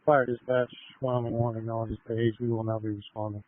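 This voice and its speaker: Flat-voiced man on narrow two-way radio. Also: medium pitch, brisk, dry recording. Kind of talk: terse radio traffic. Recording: narrow, phone-like scanner radio transmission.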